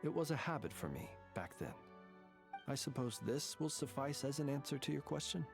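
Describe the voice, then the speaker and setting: A courteous, wistful ancient dragon prince. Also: male voice, medium pitch, sing-song, gravelly, over music. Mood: courteous, reflective. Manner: formal, measured, old-fashioned courteous phrasing. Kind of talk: scripted game character dialogue.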